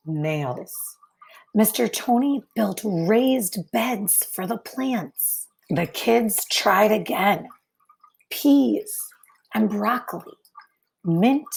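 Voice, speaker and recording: A woman reading a picture book aloud to children as a teacher, phrasing deliberately with many pauses and adding brief asides to young listeners. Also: a little high, darkish tone, breathy edge, rough recording.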